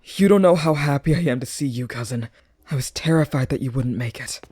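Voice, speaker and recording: An actor playing an anxious, caring young noble in an audio drama, speaking earnestly. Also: male voice, mid-range voice, brisk, a little mellow, slight background noise, close to an everyday voice. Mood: relieved.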